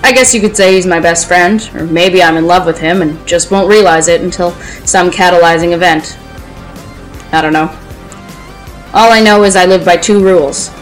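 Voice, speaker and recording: Woman, lowish voice, deadpan narration, dry comic timing. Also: normal speed, soft background music, poor audio. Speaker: narrator in an audio play.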